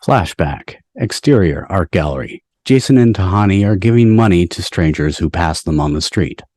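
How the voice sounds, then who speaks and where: Casting: male stage-direction reader for a script table read, low voice, dry sound. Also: lively intonation, normal speed, darkish tone, no room sound. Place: home, on a video call.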